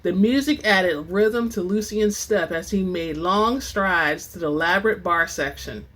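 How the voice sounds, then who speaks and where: A woman hosting and reading book excerpts in a dramatic, expressive storytelling style, with informal asides. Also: on the low side, unhurried. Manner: theatrical, expressive; informal, says y'all. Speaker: host and reader of book excerpts.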